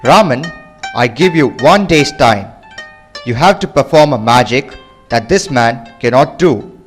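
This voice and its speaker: A man's voice: mid-range voice, lively intonation, unhurried, husky, soft background music. A man voicing a middle-aged king who gives formal, regal commands. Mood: commanding.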